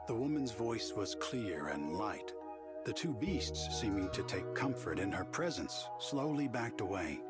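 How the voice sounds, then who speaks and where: An actor playing a composed narrator, telling a fantasy game tale in a measured, literary style. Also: mid-range voice, sing-song, loud music behind the voice. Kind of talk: scripted narration.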